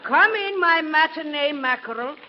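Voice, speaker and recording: A man playing a brash, blunt, seagoing old tugboat woman in a 1940s radio comedy, rough and wisecracking. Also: extremely high pitch, animated, moderate pace. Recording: dull old radio recording, faint hiss.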